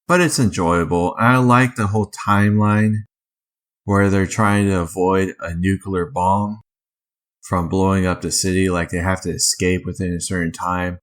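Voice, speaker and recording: A man hosting a casual review commentary, talking informally and offering his opinions as he goes. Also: low-pitched. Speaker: video host ranking films.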